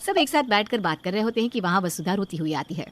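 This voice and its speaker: A woman's voice: mid-range voice, very animated, fast talker. A woman narrating a scripted story.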